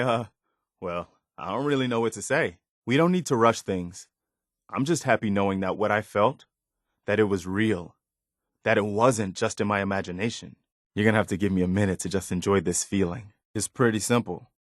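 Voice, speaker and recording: An actor voicing an earnest, principled, loyal but weary militia officer in a video game, speaking in a sincere, measured, plain-spoken way. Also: male voice, fairly low pitch, dry recording, studio quality, close to an everyday voice. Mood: surprised, moved. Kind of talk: video game character dialogue.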